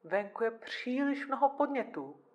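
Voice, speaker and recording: An actress playing a controlling psychiatrist in an audio drama, speaking in a measured, formal and condescending manner. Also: female voice, on the high side, animated, a little mellow, muffled recording, natural voice. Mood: patronizing, firm. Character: controlling, clinical female psychiatrist, patronizingly calm.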